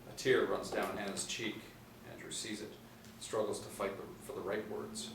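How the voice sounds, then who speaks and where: A man's voice: fairly low pitch, flat delivery, moderate pace. A man reading scripted stage directions as a narrator at a table reading. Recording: very echoey room, distant mic, faint noise.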